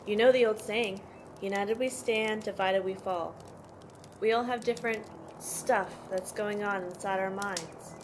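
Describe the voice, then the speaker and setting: Woman playing tough motherly sister, medium pitch, matter-of-fact, amateur audio drama, slightly noisy recording. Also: steady tone, unhurried, natural voice. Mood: protective, firm. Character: protective, tough sister mothering five troubled orphaned brothers. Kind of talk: amateur audio play, in-character interview.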